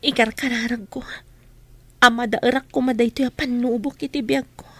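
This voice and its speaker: Sad young waitress Rita, higher-pitched. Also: female voice, steady tone, moderate pace, bright, forceful tone. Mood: sad, prayerful. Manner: sorrowful, polite. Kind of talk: radio drama acting.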